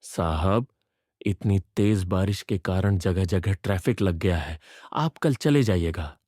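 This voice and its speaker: A man voicing a subdued, fearful caretaker in a horror story, speaking gravely and respectfully. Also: low voice, takes it slowly, warm tone, no echo, studio quality. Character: fearful, subdued male caretaker servant with grim secret.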